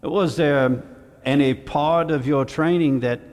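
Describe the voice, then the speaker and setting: A man playing a courteous, methodical senator at an inquiry in an audio drama, formal and measured. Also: a little high, a little mellow. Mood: courteous, probing. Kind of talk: fiction podcast audio drama. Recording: echoey hall with long reverb.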